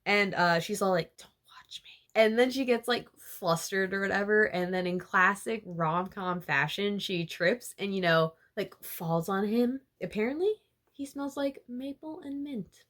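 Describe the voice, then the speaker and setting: A woman's voice: medium pitch, measured pace, a bit bright. A young woman vlogger retelling a novel chapter by chapter in a chatty, giggly way, with lots of fillers. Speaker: book-summary vlogger.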